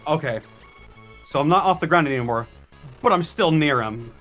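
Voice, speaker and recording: Male tabletop player, slightly raised pitch, casual improvised move descriptions, light music behind. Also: animated, moderate pace, fairly bright tone. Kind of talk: casual game chat with improvised description of moves.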